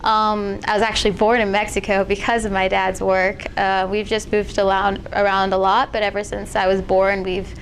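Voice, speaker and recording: Teen girl, colombian family, flat voice, lots of ums, faint noise. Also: medium pitch, moderate pace, few pauses, poor audio. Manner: hesitant, many fillers. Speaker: student interviewee. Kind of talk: casual interview answers.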